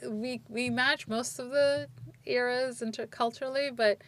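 A woman's voice: on the high side, steady tone, unhurried. A woman in her forties, from Turkey, telling her story in a casual conversation, rambling and restarting her sentences. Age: 40s.

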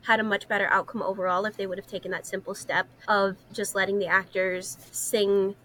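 A woman's voice: mid-range voice, little pitch movement, fairly quick, a bit bright, rough recording. A young woman co-hosting a casual discussion, speaking in an earnest, opinionated way. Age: young adult. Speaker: podcast co-host, student singer and actress.

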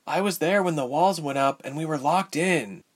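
Man voicing a firm, higher-pitched young woman. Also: moderate pace, slightly rough. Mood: guarded. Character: tough, guarded young woman hostage, firm and wary.

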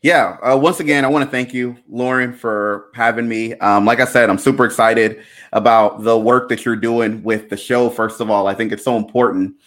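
A man in his thirties, a pastor from Liberia raised in Louisiana, speaking as an enthusiastic podcast guest who often stops to fill pauses with uh and um. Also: medium pitch, steady tone. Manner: warm, enthusiastic, halting with many fillers. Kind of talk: podcast interview.

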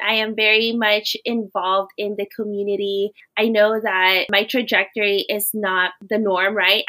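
A woman's voice: medium pitch, sharp tone. A young woman from the Philippines who works as a teacher, telling her story earnestly as a podcast guest. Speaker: guest, teacher and doctoral student. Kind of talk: podcast interview.

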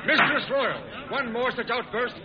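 An actor playing a stern, exasperated judge with a pompous, scolding manner in an old-time radio drama. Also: male voice, extremely high pitch, normal speed, heavy background noise. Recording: muffled old radio recording, crowd murmur.

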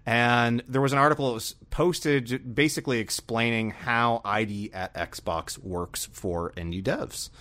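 A man co-hosting a gaming podcast, chatting casually in an enthusiastic, expressive way. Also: medium pitch, measured pace, few pauses. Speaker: podcast co-host.

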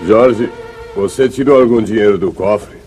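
A man's voice: on the low side, moderate pace, over loud music, close to an everyday voice. An actor playing a stern, reproachful father in a Brazilian radio drama of the 1950s. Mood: stern, accusing. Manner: grave, reproachful, commanding. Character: stern, wronged father of a grown son, a rancher.

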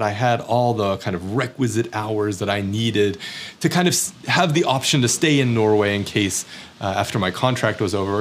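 Candid self-deprecating American man. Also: mid-range voice. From United States. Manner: candid, self-deprecating, many fillers and restarts. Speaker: teacher living abroad. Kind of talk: reflective personal monologue.

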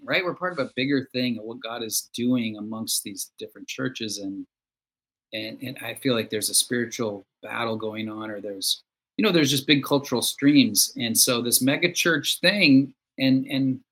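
Husky guy talking thoughtfully as a guest, relaxed chat. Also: mid-range voice, moderate pace, low-quality recording. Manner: reflective, conversational. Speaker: guest songwriter. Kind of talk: casual conversation.

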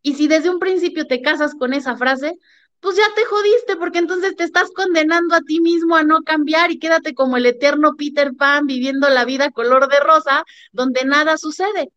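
A woman, a podcast guest arguing her point with emphatic, lively storytelling. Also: high-pitched, moderate pace. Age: 30s. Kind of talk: conversational podcast talk. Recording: muffled lo-fi sound.

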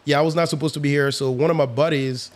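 Man, 30s, from the Congo, raised in France; relaxed, confident podcast guest. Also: on the high side, quick pace. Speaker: guest, real estate investor. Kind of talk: casual podcast chat.

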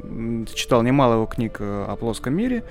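Man, podcast host, medium pitch, informal book chat, pauses to find words. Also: very animated, faint music behind.

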